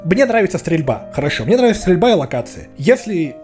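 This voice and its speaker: A man's voice: high-pitched, big pitch swings, fast talker, a little mellow, faint music behind. A man co-hosting a casual podcast about video games, joking and self-mocking. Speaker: podcast co-host.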